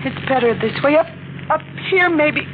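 A terrified, stammering young widow. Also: female voice, high voice, expressive, normal speed, background noise. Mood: fearful. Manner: stammering, fearful, pleading. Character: haunted, terrified young widow, certain of doom. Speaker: frightened widow. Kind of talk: 1940s radio acting. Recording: tinny old radio broadcast with music.

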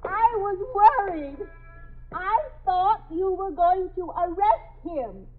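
A woman's voice: very high voice, expressive, slow pace, full character voice. An actress playing an anxious, impatient young woman in a 1950s radio drama, plaintive and insistent. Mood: worried. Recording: dull 1950s radio broadcast recording.

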